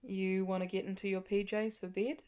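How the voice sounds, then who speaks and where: Weary family father, gentle and protective, voiced by a woman narrator, storybook dialogue. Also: mid-range voice, flat delivery, normal speed, clear, resonant tone, natural voice. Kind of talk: audiobook character voice in fan fiction.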